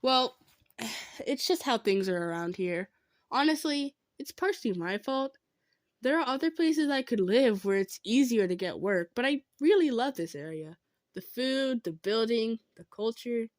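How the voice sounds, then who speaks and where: A woman playing a kind, modest female centaur in an improvised roleplay, speaking gently and earnestly with some stammering. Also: a little high, lively intonation, a little slow, no room sound, clean studio sound. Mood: resigned, earnest. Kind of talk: improvised roleplay audio drama, addressed to listener.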